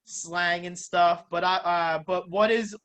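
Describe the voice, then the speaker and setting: High, bright-voiced Philadelphia man. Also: fairly even intonation. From Philadelphia, United States. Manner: halting, informal, often says like. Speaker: interviewer, podcast host. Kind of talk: casual interview chat.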